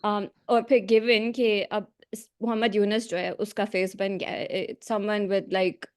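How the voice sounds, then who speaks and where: Fast-talking woman. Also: medium pitch, level tone, clean tone. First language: Urdu. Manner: quick, mixing in Urdu phrases, with fillers. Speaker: meeting participant asking a question. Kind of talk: conversational question. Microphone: video call.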